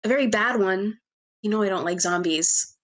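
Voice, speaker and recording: A nervous, prim young woman in a screenplay read, played by a woman. She disapproves of her friends, in a natural voice of average pitch. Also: normal speed, close to an everyday voice. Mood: annoyed. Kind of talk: amateur screenplay table read.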